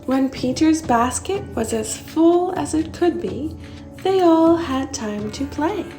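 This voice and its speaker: Lady reading a kids picture book, mellow and slow, bit of music under her. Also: high-pitched. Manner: unhurried, expressive read-aloud delivery. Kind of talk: expressive children's story narration.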